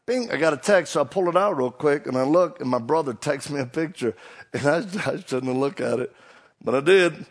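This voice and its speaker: A man's voice: on the high side, fairly quick. A middle-aged man from Louisiana with a Cajun accent, a preacher giving a hyped-up, self-deprecating comic talk to a live audience. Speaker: preacher and motivational speaker.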